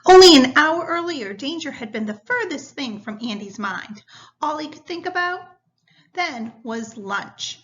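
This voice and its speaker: Bright-voiced woman reading slowly aloud. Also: high-pitched, lively intonation. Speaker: teacher reading a story to students. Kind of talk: read-aloud storytelling with lesson instructions. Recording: dull, lo-fi sound.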